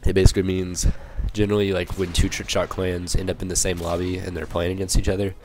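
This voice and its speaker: Low flat raspy young man. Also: fast, music in the background. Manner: rambling, many fillers and restarts. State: raspy from a cold. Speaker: gaming channel commentator. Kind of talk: casual voice-over commentary over gameplay.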